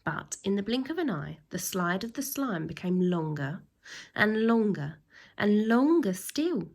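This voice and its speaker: Animated, slow-paced woman reading a children's story. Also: mid-range voice. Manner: expressive, drawn-out emphasis, acted sound effects. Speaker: teacher reading a children's book aloud.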